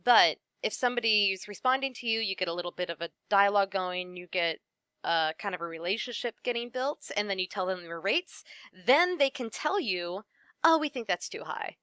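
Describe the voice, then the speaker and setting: A woman voice actress, a guest in a casual interview, giving thoughtful advice and often trailing off softly at the ends of her points. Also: medium pitch, animated, moderate pace, clean tone, dry recording, clean studio sound.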